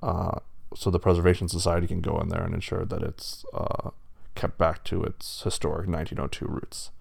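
A man's voice: low voice, flat delivery, brisk, vocal fry, darkish tone, breathy edge, full character voice. A man voicing an apologetic, dutiful male wolf official with a hesitant, stammering politeness in a told story.

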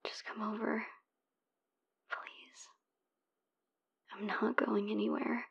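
A woman playing a heartbroken young woman who leaves a hushed, pleading voicemail for her ex, swearing in frustration. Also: fairly high pitch, flat delivery, slow pace, vocal fry, husky, lo-fi sound, full character voice. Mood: soft pleading. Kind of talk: whispered audio roleplay voicemail.

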